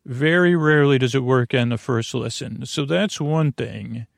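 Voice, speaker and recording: Creaky-voiced man rambling gently. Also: mid-range voice, no echo, studio quality. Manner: meandering, halting, trailing off and restarting mid-phrase. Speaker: podcast host. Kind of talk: rambling sleep podcast monologue.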